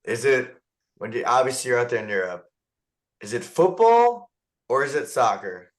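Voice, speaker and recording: A man's voice: mid-range voice, wildly swooping pitch. Easygoing man podcast host. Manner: easygoing, halting questions. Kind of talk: casual interview chat.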